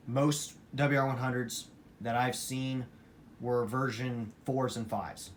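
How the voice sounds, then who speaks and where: A man talking casually and unscripted as a hobbyist presenting a collection, emphatic and repeating phrases for emphasis. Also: mid-range voice. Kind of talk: casual unscripted vlog talk. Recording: clear background noise, amateur room recording.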